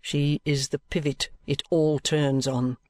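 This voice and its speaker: A knowing, unhurried police detective, voiced by a male reader, steady and persistent as he presses his point. The voice sits a little high for a man and sounds close and dry. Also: no echo. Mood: patient, insistent. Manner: steady, persistent, respectfully insistent. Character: shrewd, patient middle-aged police detective. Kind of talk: audiobook character reading.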